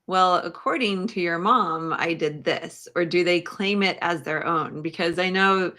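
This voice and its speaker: A woman hosting a podcast, asking casual interview questions in tentative, halting phrases. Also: on the low side, sharp tone.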